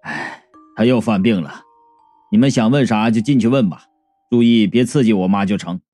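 A man's voice: on the low side, animated, normal speed, warm tone, no echo, soft background music, pitched below the performer's own voice. A man playing a weary, resigned village son with a placating, sighing manner in an audiobook.

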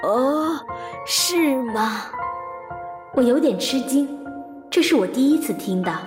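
An actress playing a polite, observant lady in an audio mystery drama, deliberate and quietly probing. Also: high voice, lively intonation. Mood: curious, polite. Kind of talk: radio drama acting. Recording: music behind the voice.

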